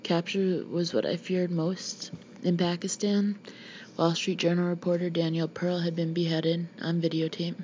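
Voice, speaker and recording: A woman's voice: on the low side, little pitch movement, moderate pace, darkish tone. A woman reading a memoir aloud as scripted audiobook narration. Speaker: audiobook reader. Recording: dull lo-fi home recording, background noise.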